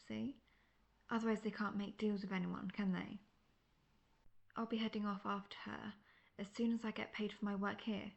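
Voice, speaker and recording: Casting: actress as veteran female monster hunter, wry and self-assured, creaky mid-pitched voice, fantasy audio roleplay. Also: dull audio, lightly acted. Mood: matter-of-fact. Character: seasoned, cocky, world-weary female monster hunter, friendly mentor.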